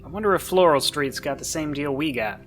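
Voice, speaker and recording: A man's voice: a little high, a little fast, fairly bright tone, soft background music, lightly acted. A man playing a scornful, gossipy neighbour in a radio play. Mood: scoffing.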